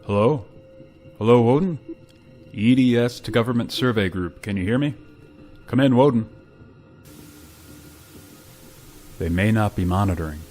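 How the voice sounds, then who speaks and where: An actor playing a weary, stoic spaceship pilot who narrates a science-fiction radio drama in a terse, grave, restrained manner. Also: male voice, on the low side, moderate pace, darkish tone, faint music behind, lightly acted. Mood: urgent, businesslike.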